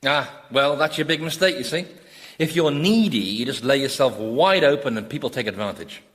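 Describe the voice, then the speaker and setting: A man playing a resigned, amiable damned soul in a radio sitcom, deadpan and obliging. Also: medium pitch, moderate pace, close to an everyday voice. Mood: advising, resigned. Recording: long hall-like reverb.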